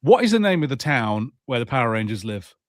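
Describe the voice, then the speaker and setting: A man's voice: medium pitch, very animated, brisk, dry recording, clean studio sound. A man in casual podcast conversation as a co-host, telling anecdotes in a rambling way. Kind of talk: casual chat.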